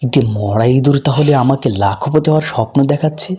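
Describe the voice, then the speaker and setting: A man's voice: medium pitch, fast talker, a little mellow, slight background noise, close to an everyday voice. A man voicing an earnest, hopeful poor street vendor who thinks aloud in a folk tale cartoon. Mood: hopeful, musing. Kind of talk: cartoon voice acting.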